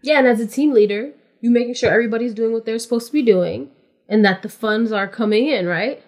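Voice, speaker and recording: A woman's voice: fairly high pitch, fast, darkish tone. A woman from California, a podcast guest in casual interview chat, explaining things matter-of-factly and step by step with frequent "like".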